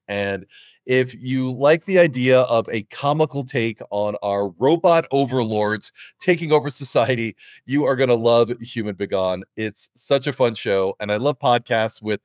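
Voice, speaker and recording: A man's voice: mid-range voice, no echo, clean studio sound. A man hosting a podcast, talking in a casual, upbeat interview style. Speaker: podcast host interviewing a guest.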